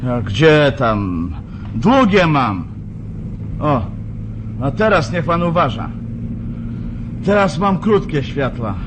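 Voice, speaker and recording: An actor playing a male engineer driving at night in a radio play, patronizing and stubbornly reasonable, explaining things deliberately. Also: a little high, big pitch swings. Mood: relaxed, assured. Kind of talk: radio drama acting. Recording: car engine and road noise. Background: moving car.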